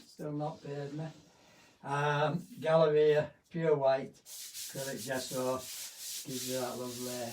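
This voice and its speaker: Hoarse male art tutor, chatty and rambling, fairly high voice, a bit off-mic with faint noise. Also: darkish tone, distant mic, low-quality recording. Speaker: art teacher. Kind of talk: live painting demonstration.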